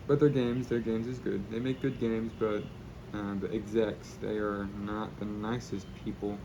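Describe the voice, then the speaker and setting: A young man chatting casually and rambling in a low-key way while he spray paints. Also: little pitch movement, a little slow, creaky, noisy background.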